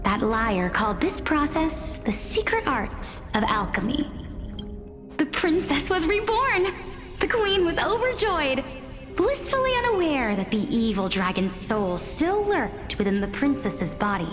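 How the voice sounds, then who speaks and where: Playful young scholar, high slow voice, giggly storyteller, game music underneath. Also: female voice, sing-song. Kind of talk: game voice acting. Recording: game dialogue over music.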